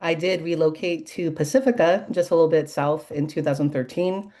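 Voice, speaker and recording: A woman immigration attorney from the United States introducing herself on a radio show, brisk and precise. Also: low voice, dark tone. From USA.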